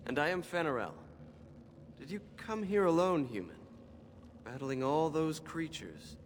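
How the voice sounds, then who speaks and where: A man's voice: on the high side, animated, unhurried. A man voicing an inquisitive young elf hunter in a video game. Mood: curious. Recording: echoey cave reverb, noisy ambience.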